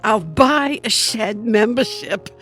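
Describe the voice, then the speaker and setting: A woman playing a self-indulgent, blissed-out friend in a comic audio play, with drawn-out moaning exclamations. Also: a little high, animated, a bit bright, faint music behind. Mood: blissful, distracted. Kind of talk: comic audio theatre acting.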